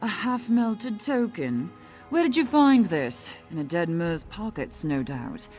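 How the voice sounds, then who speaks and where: An actress playing a snobbish, haughty woman who organizes an elite event in a video game, speaking in a sarcastic, condescending, brisk way. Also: mid-range voice, very animated, a little mellow, faint music behind.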